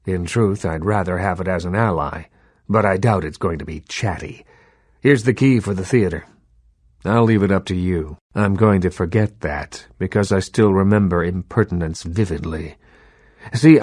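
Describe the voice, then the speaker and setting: An old vampire lord who rules Hollywood in a video game. His voice is low and closely recorded with a dry sound. He speaks with measured eloquence, imperious and condescending, like one used to being obeyed. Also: a little mellow, no echo. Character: imperious old male vampire baron, Hollywood film mogul. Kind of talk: video game character dialogue.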